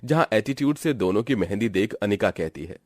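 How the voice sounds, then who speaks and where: A man narrating a scripted animated family story. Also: on the low side, a little fast, no echo.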